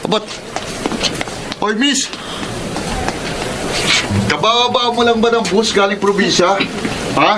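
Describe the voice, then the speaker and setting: A man's voice: high voice, takes it slowly, pauses often. An actor playing a cheeky, persistent young male college student in a radio drama, teasing and a bit pushy. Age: young adult. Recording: clear background noise and ambience.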